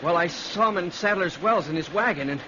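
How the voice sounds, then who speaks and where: An actor playing an earnest, excitable, kindly rural American lad in an old-time radio drama, speaking in a halting, folksy way. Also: male voice, high voice. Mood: urgent. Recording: muffled, noisy vintage radio recording.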